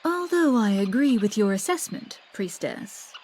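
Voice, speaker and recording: A stern female councilor from a fantasy game, acted by a woman, formal and authoritative. Her mid-pitched voice carries command, with faint noise behind it. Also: animated, measured pace, darkish tone, lightly acted, rough recording. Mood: firm, decisive. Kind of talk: English video game cutscene acting.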